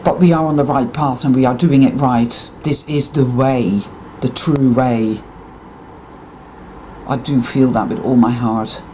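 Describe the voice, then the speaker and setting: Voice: medium pitch, steady tone, unhurried, warm tone, slight background noise, poor audio. A speaker with a Dutch accent, a native Dutch speaker, gives an intense, profane, emphatic monologue to viewers, repeating words for emphasis. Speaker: spiritual vlogger.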